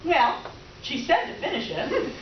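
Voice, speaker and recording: A woman plays a brazen middle-aged flirt in a stage comedy, heard from a distance in a roomy space. Her very high voice swoops wildly as she coos and suggests with theatrical excess. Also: sing-song, measured pace, heavily acted. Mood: coy, insistent. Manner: cooing, suggestive, over-dramatic moaning. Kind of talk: comic readers theater acting. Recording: roomy, distant, dull, faintly noisy stage recording.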